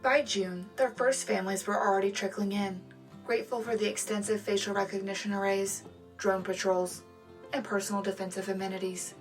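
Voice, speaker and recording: Actress as sci-fi radio news co-host, medium pitch, upbeat, official, tongue-in-cheek, light music behind. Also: female voice, little pitch movement, a little fast, close to an everyday voice, low-quality recording. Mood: prim, upbeat. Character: polished upbeat female propaganda radio co-anchor. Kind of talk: scripted satirical news broadcast.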